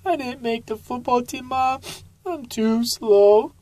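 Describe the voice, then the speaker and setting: A woman's voice: on the high side, dark tone, no room sound, pitched above the performer's own voice. Lady doing a little boy sloth from a picture book, sad sack, draaags his words out. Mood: dejected. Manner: drawn-out, plaintive. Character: young boy sloth, slow, easily discouraged.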